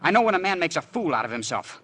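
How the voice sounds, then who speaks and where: Resentful young american son in old radio play, sharp edgy voice, curt and cocky. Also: male voice, on the high side, sing-song, fast, exaggerated voice. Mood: defiant. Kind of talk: 1950s radio drama acting. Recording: vintage radio drama recording.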